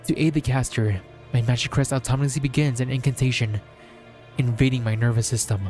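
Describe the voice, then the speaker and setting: A male streamer reads fantasy fiction aloud in a solemn, measured way. His pitch sits in the middle for a man, and he delivers short phrases as dramatic narration. Faint music plays behind him. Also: fairly even intonation, a little mellow. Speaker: streamer reading a visual novel aloud. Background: background music.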